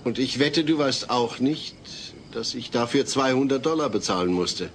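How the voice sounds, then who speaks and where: A man's voice: medium pitch, lively intonation, normal speed, slight background noise, close to an everyday voice. An actor playing a mild, bemused middle-aged father in a sitcom audio play, with dry, hesitant delivery.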